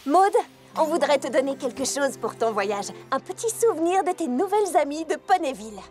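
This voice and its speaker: High, earnest cartoon pony. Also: female voice, lively intonation, moderate pace. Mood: kind. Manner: earnest, articulate, explanatory. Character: earnest, articulate young female pony, leader of friends. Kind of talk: French animated series dub. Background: music.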